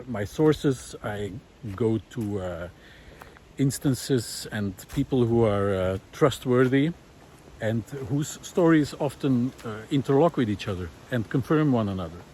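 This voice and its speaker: A man's voice: medium pitch, a little slow, warm tone. A man thinking aloud earnestly and hesitantly as he walks through a forest, in a reflective, unscripted vlog style. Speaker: vlogger, environmental researcher and former visual artist. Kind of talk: spontaneous spoken musings while walking. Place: outdoors, walking in a forest. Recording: outdoor ambient noise. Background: forest ambience.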